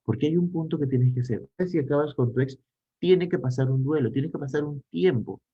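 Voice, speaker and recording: A man's voice: fairly high pitch, a little fast, husky, dull audio. A man with a Peruvian Spanish accent giving a psychology lecture, posing rhetorical questions to teach. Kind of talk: online conference talk.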